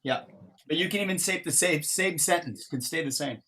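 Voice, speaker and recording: Higher-pitched man leading an online workshop. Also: normal speed, sharp tone, a little hiss or hum. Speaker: workshop facilitator. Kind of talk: leading an interactive online improv exercise. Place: online video call. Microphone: video call microphone.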